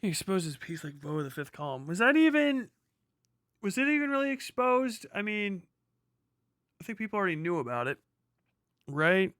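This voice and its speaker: A man's voice: high voice, sing-song, moderate pace, long pauses, a bit bright, no echo, clean studio sound. An American man hosting a livestream, talking casually and reacting to chat in a profane, slangy, rambling way. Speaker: livestream host. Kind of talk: casual livestream commentary, reading and answering chat.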